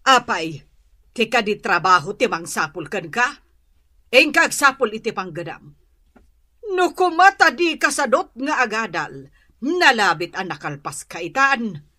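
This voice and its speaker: A woman's voice: fairly high pitch, lively intonation, a little slow, sharp tone, no echo, studio quality, heavily acted. A woman playing a stern, nagging middle-aged mother in a radio drama, with an Ilocano Filipino accent. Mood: scolding.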